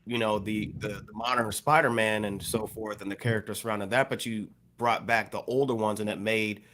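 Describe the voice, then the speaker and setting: A man with an American accent co-hosting a hip hop podcast, explaining points in a measured way with plenty of "you know". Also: on the low side, fairly even intonation, fairly bright tone, slight background noise. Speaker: podcast co-host.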